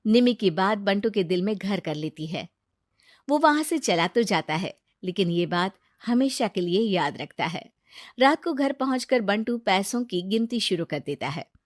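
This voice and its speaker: A woman's voice: medium pitch, lively intonation, measured pace, dry recording, clean studio sound. A woman narrating a scripted moral story for a cartoon.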